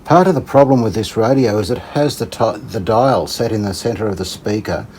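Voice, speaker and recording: A man giving casual hands-on repair commentary, unhurried and tentative, as if thinking aloud. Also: fairly low pitch, a little mellow, slight background noise. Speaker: host of a radio repair channel.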